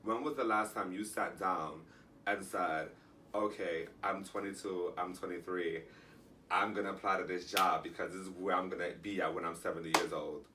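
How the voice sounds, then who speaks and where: A man co-hosting a casual podcast chat, talking in animated bursts with many restarts. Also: deep voice, moderate pace. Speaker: podcast co-host. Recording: distant mic, faint background noise.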